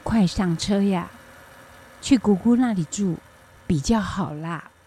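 A woman's voice: mid-range voice, slow pace, mellow, breathy edge. A woman acting a caring, self-sacrificing old grandmother in a radio drama, with a Taiwanese Mandarin accent. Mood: coaxing.

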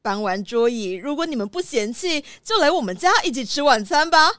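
A woman's voice: high voice, lively intonation, fairly quick, fairly bright tone, no room sound, studio quality. A woman voicing a friendly, naive, easily flustered small-town mayor in a children's audio drama, courteous and full of exclamations. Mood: courteous, worried.

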